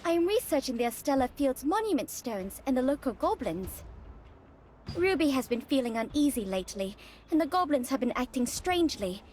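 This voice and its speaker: Curious lady mage researcher in a game, high voice, super lively and eager. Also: fairly quick, a little hiss or hum. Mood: earnest. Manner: expressive, inquisitive.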